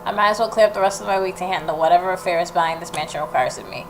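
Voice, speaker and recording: A woman's voice: fairly low pitch, rapid-fire. A woman hosting a let's play, reading game text aloud and dropping in informal, reactive asides. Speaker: gaming video host. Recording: clear background noise and game ambience.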